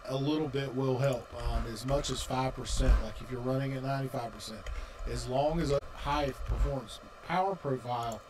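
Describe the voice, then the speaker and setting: A man with a medium-pitched voice explains things as a tutorial presenter. There is clear background noise in the recording. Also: fairly quick.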